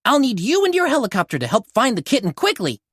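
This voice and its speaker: A woman's voice: a little high, wildly swooping pitch, quick pace, slightly rough, dry recording, clean studio sound, full character voice. A woman voicing a confident boy who leads a rescue team, encouraging and giving orders in a storybook. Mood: commanding.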